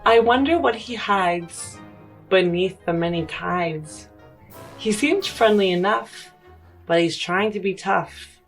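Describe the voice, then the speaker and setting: Slow-speaking woman over faint music. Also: mid-range voice. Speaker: player in a tabletop game show. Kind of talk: reciting a homemade rhyme, casual chat.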